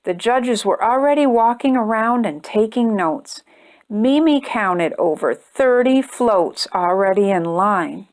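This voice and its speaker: A woman reading a children's picture book aloud with expressive, deliberate storytelling and emphatic phrases. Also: mid-range voice. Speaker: storytime reader and host.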